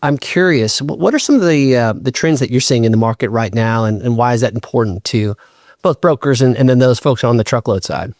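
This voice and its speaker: Guy hosting a podcast, mid pitch, casual, dry sound. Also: a little fast, darkish tone, no room sound. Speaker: podcast host. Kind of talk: interview questions.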